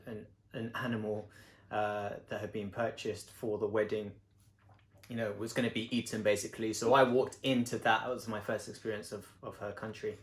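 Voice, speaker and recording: A man's voice: fairly low pitch, level tone, normal speed. A man, hosting a casual interview with playful, joking banter. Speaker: host interviewing his partner. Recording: echoey room.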